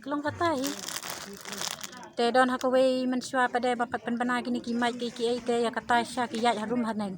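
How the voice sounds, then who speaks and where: A woman's voice: on the high side, flat delivery, fast talker, crowd noise. A woman giving casual, unscripted answers in an interview. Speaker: local interviewee.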